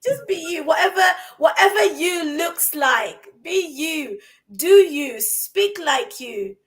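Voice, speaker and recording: Woman host, high voice, keeps repeating stuff for emphasis, casual podcast chat. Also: lively intonation, slow pace. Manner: emphatic, repeats phrases for stress. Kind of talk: casual chat about confidence.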